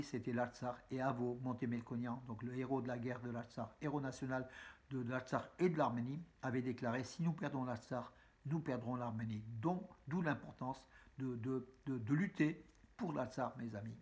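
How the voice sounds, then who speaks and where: A man delivers a casual news roundup straight to his audience. He speaks in a chatty, halting way, full of fillers and restarts, and keeps calling his viewers friends. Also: medium pitch, fairly even intonation, a little mellow. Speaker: news vlogger. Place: room with a map on the wall behind him.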